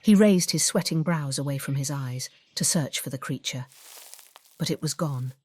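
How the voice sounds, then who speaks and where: A woman narrating a scripted dark fantasy story in a dramatic, deliberate audiobook style. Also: low voice, a little hiss or hum. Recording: music bed behind the voice. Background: background music.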